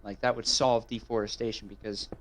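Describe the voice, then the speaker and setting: Chill young dude talking while gaming, offhand, faint keyboard clicks. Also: male voice, lowish voice, level tone, brisk, fairly bright tone, slight background noise. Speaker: friend. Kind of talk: casual chat while gaming.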